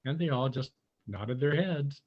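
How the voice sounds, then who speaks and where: A man's voice: medium pitch, expressive, unhurried, dull audio. A man reading a children's storybook aloud, chatting to his young listeners in conversational asides.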